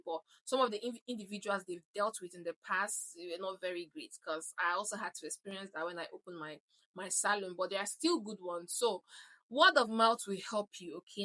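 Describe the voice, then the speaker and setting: A Nigerian woman in her thirties gives direct, emphatic advice straight to the camera. Her voice has a bright, clear ring, and she presses her points home with a repeated "okay?". Her pitch sits in the usual middle range for a woman. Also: poor audio. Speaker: vlogger.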